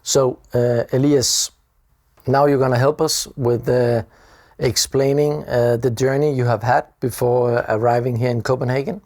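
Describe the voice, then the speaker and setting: Male interviewer, relaxed, fillers, mid-pitched, self-deprecating. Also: normal speed, no echo, studio quality. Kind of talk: casual interview.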